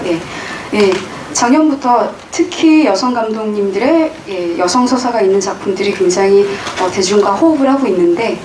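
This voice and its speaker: A woman runs a press conference, speaking in a polite, brisk, formal manner. Her pitch is ordinary. She sounds distant, with echo and room noise. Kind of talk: formal moderating. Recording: echoey hall, distant mic, noisy room.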